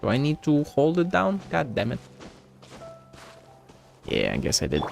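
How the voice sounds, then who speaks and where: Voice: medium pitch, wildly swooping pitch, a little slow, gravelly. A game streamer giving informal, slangy playthrough commentary, thinking aloud. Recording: game music faintly behind.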